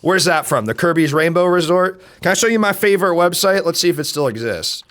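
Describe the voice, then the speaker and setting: A young man with a deep voice, streaming and chatting casually, loud and brash, swinging from shouts to mutters. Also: expressive, brisk. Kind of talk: casual live-stream chat.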